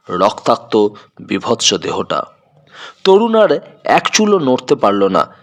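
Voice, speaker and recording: A man's voice: medium pitch, a bit bright. A man narrating a scripted detective story in a dramatic, suspenseful manner.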